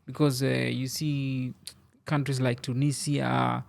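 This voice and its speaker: A man's voice: medium pitch, little pitch movement, slow, creaky. A man talking as a guest on a podcast in a studio, rambling loosely and packing his speech with "you know" and "I mean.". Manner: rambling, filler-heavy, many "you know"s. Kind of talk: casual interview chat.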